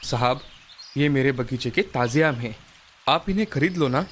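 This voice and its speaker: A man playing a poor, proud young village mango seller in an animated moral story, earnest and plain-spoken. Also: mid-range voice, moderate pace, a little hiss or hum, lightly acted. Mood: pleading.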